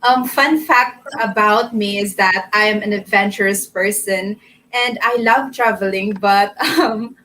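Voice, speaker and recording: A woman's voice: medium pitch, unhurried, pushed and bright, slight background noise, low-quality recording. A nineteen-year-old woman with a Visayan accent, a pageant candidate introducing herself in a friendly, giggly way over a video call. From Philippines. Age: teens. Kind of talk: self-introduction in an interview.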